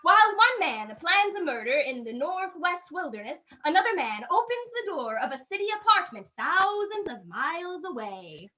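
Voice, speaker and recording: A woman with a very high, bright voice announces a radio play on a slightly muffled recording. Her delivery is theatrical, old-time announcer style. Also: big pitch swings, normal speed, few pauses, faint music behind. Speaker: radio drama announcer and narrator. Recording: dull, band-limited sound.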